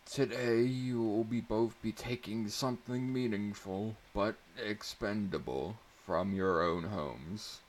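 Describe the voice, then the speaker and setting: A man's voice: fairly low pitch, slow, hardly any pauses, a little hiss or hum, pitched above the performer's own voice. A man voicing an irritated, sardonic woman schoolteacher with an emphatic, theatrical delivery in a dramatic story reading. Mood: instructing.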